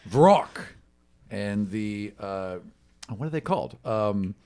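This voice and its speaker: Low-voiced man narrating a tabletop fantasy game. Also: lively intonation, takes it slowly, warm tone, clean tone. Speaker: game master.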